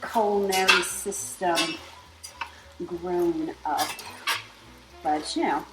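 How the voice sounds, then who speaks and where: Slow-talking woman hosting a cooking show. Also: on the low side, very noisy. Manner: chatty, relaxed step-by-step explaining. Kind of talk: home cooking demonstration. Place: home kitchen. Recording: echoey kitchen with background music.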